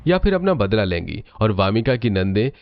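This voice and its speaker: A man's voice: fairly low pitch, very animated, normal speed, gravelly, dry recording. A man narrating a scripted cartoon family story as the storyteller, on a slightly dull recording.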